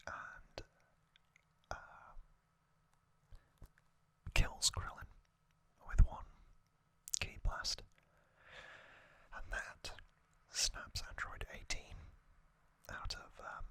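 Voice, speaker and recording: The kind of voice on a late-night ASMR channel for falling asleep, whispering a story unhurriedly with little hesitant asides. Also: pushed and bright. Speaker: ASMR host.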